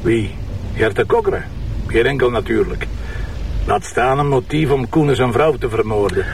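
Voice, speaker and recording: An actor playing a gruff, impatient police commissioner in a TV crime drama, blunt and sarcastic, with a Flemish accent. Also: male voice, medium pitch, normal speed, far from the mic, a little hiss or hum, lightly acted. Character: gruff, irritable male police commissioner with a sharp tongue. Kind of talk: naturalistic TV crime drama acting.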